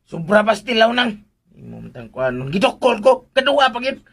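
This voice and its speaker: An actress playing a whiny, dramatic household helper in a Philippine radio drama, with a Filipino accent and lots of plaintive interjections. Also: female voice, fairly high pitch, sing-song, normal speed, vocal fry. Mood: whining, sore.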